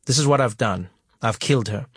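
A man voicing a despairing father who speaks in a flat, subdued way. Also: medium pitch, fairly quick, breathy edge, no echo, studio quality, close to an everyday voice.

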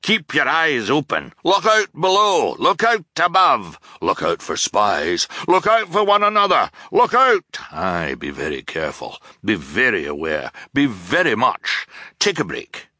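A man voicing a cheerful, scatterbrained enormous male submarine captain who booms out contradictory orders. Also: huge pitch swings, measured pace, pushed and bright, no echo, studio quality, exaggerated voice. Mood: cheerful, scattered. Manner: booming, blurting contradictory orders.

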